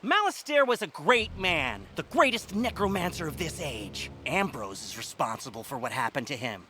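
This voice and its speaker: An actor playing a self-assured, condescending wizard scholar in a fantasy game, with dramatic delivery. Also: male voice, on the high side, very animated, moderate pace, pushed and bright, soft background music. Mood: accusing. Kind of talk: fantasy game character dialogue.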